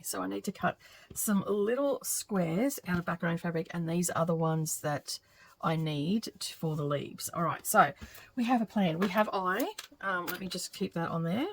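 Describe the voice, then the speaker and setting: A middle-aged woman with a slightly croaky voice, chatting and thinking aloud as she works on a quilt for her craft channel. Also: lowish voice, a little hiss or hum. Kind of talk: casual craft vlog narration while working.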